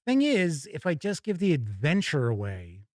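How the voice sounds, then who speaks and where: A man's voice: fairly high pitch, huge pitch swings, normal speed, darkish tone, dry recording, studio quality. A man hosting a casual live stream with folksy, hearty showman patter. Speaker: host of a tabletop gaming live stream.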